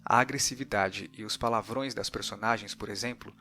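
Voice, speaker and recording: A man's voice: on the low side, steady tone, brisk, sharp tone, faint music behind. A man with a Brazilian accent narrating a scripted podcast as its host.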